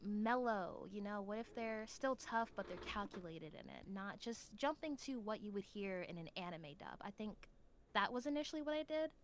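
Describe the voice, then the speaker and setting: A woman with a mid-pitched voice talks casually as an interview guest. She is a voice actor and tells her stories in an animated, giggly manner. There is some faint noise behind her. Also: moderate pace.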